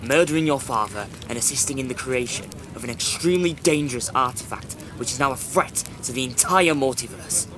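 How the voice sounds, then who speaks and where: A man plays a young, earnest warrior in a fantasy radio drama. His mid-range voice is firm, formal and defiant, with clearly audible ambient noise behind it. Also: mid-range voice, brisk, hardly any pauses, close to an everyday voice. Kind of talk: fantasy audio drama acting.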